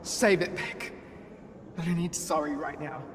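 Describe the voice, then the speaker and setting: A woman's voice: low-pitched, steady tone, measured pace, long reverb tail. An actress playing a fierce woman fighter out for revenge in a video game, hard and defiant, recorded at a distance amid noise. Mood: bitter, determined. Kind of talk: dramatic video game cutscene acting. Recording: noisy, distant from the mic.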